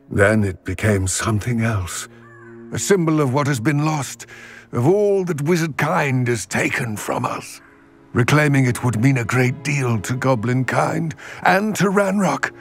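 A man voicing a wary, elderly goblin trader in a video game, with measured, formal phrasing and a weathered voice. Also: medium pitch, lightly acted. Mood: solemn. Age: older. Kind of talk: dramatic video game dialogue.

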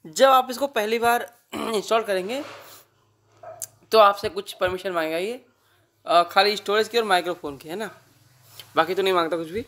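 A man's voice: sits high, moderate pace, pauses often, a bit bright. An Indian man with a sore throat, giving a casual app tutorial as the host.

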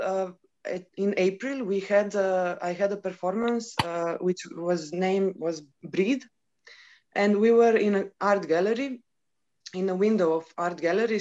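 A woman's voice: mid-range voice, a little mellow. Woman artist from Croatia, muffled call. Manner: hesitant, plain-spoken. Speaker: performance artist. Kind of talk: panel discussion over video call. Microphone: video call.

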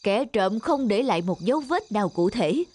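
A woman voicing a stern, shrewd male magistrate in a cartoon folk tale, speaking in a measured, formal, authoritative way. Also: mid-range voice, animated, mellow, close to an everyday voice. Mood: troubled. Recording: music behind the voice.

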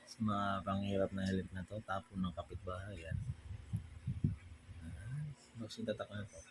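A Filipino man giving a casual hands-on vlog demonstration in informal, halting, piecemeal remarks, as someone showing how to install a product. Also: low-pitched, little pitch movement. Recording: clearly noisy ambient background.

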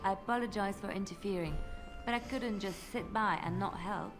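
An actress playing an earnest, devout young fighting sister in a video game, gentle and sincere. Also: female voice, medium pitch, a little fast, music in the background, close to an everyday voice. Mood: polite, composed.

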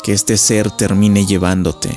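A man's voice: on the low side, level tone, measured pace, loud music behind the voice. A man narrating a local legend as scripted storytelling.

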